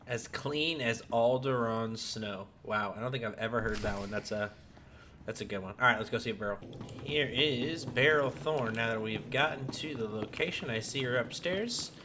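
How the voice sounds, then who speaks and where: A man's voice: medium pitch, normal speed. A man hosting a casual let's-play with enthusiastic commentary. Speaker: gaming streamer, host. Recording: clear background game ambience noise.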